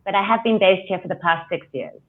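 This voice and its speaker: A woman's voice: medium pitch, fast. South african lady coach on a video call, chatty, laughs a lot, phone-line sound. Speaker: online coach, guest. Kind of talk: casual video call chat. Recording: tinny phone-like call audio.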